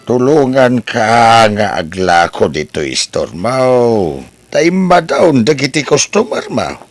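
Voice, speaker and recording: A man's voice: medium pitch, big pitch swings, slow, no echo, soft background music. A man playing a caring cousin and a remorseful grown son in an amateur audio drama. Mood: friendly.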